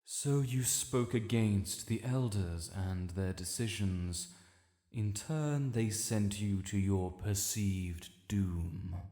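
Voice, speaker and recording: A man's voice: lowish voice, slow pace, warm tone. A man with a British accent playing an ancient, proud male dragon lord in a fantasy audio roleplay, speaking formally and imperiously to the listener. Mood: stern, probing. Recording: roomy with long cavernous reverb.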